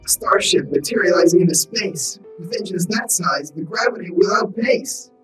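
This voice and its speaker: Casting: little excitable rhyming robot, female actor, cartoon declaiming, roomy echo, music behind. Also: on the low side, moderate pace, distant mic. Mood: alarmed. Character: small, excitable robot who talks in rhymes. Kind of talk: voice acting in a cartoon fan dub.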